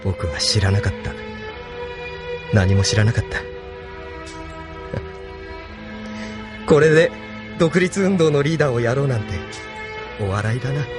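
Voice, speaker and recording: An actor playing an idealistic, troubled young rebel leader in an anime audio drama, intense and questioning. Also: male voice, mid-range voice, lively intonation, moderate pace, long pauses, over loud music, natural voice. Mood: bitter.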